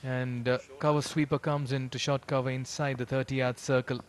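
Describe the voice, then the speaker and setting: A man's voice: mid-range voice, level tone, a little fast. A man giving live cricket commentary mixed with casual chat. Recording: clear background noise, live ground ambience.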